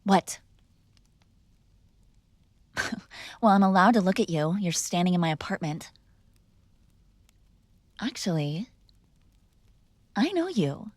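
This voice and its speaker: A woman's voice: on the low side, animated, slow, long pauses, no echo, clean studio sound. A young woman performing an audio roleplay as a defiant, sarcastic mob boss's daughter arguing with her father. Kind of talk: scripted ASMR girlfriend roleplay.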